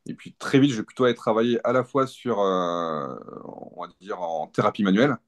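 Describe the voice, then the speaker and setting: Fast-talking, low-pitched man in his fifties. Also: animated. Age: 50s. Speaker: physiotherapist, interview guest. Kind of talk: casual explanatory interview talk.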